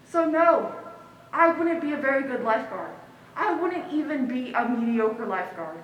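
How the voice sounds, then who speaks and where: A woman's voice: high voice, animated, normal speed, dull audio. A woman acting a blunt, self-deprecating figure in a stage monologue, wry and emphatic. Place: stage in a large hall, distant mic. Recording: echoey hall from far off, noisy.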